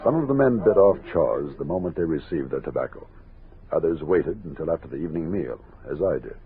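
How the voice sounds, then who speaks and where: An actor playing a steady frontier army captain who leads an expedition and narrates it in measured, commanding old-time radio style. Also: male voice, extremely low pitch. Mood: reflective narration. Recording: tinny noisy old radio recording.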